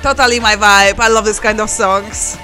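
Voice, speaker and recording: A woman in her thirties from Poland, speaking with a Polish accent, holding a microphone in a cozy room and reacting to a song with excited exclamations and laughs. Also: medium pitch, moderate pace, soft background music. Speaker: YouTuber and music journalist. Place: cozy living room with fairy lights, indoors. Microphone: handheld microphone.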